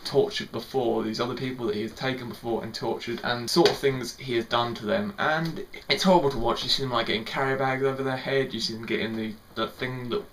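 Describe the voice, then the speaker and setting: A man's voice: mid-range voice, steady tone, fairly quick, few pauses, fairly bright tone, a little hiss or hum, poor audio. A young man giving a casual spoken film review, rambling a little and repeating words, with lots of "sort of" and "like".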